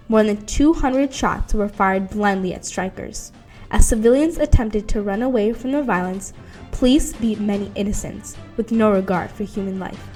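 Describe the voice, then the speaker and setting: A woman's voice: medium pitch, darkish tone. A woman reading scripted documentary narration. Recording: music under the voice. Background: background music.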